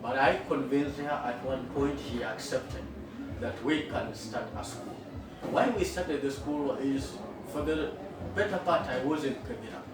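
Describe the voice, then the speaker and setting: A middle-aged man with a Kenyan accent, a school founder, telling his story in an interview in an earnest, unhurried way with frequent fillers. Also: mid-range voice. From western Kenya. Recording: echoey room, far from the mic, with noticeable background noise.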